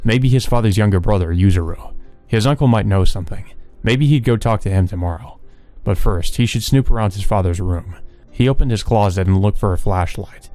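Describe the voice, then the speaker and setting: A man's voice: fairly low pitch, brisk, hardly any pauses, dark tone. A man reading a visual novel aloud and commenting casually as he plays. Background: game music.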